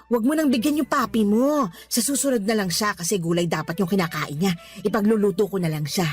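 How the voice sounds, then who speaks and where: A woman playing a friendly, doting middle-aged sweetheart in a radio drama, homely and gently playful. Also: mid-range voice, brisk, soft background music, lightly acted. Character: friendly, doting older woman being courted.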